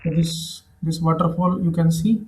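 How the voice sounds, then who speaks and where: A man with an Indian accent narrating a screen tutorial in a halting, repetitive, explanatory way. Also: a little high, flat delivery, darkish tone. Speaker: presenter. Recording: echoey room.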